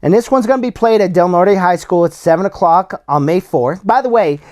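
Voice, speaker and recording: Slightly hoarse man hosting a podcast. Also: sits high, fairly quick, a little mellow. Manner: casual, plain-spoken, many fillers and restarts. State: slightly hoarse, losing his voice. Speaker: podcast host. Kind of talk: solo sports podcast, bracket predictions.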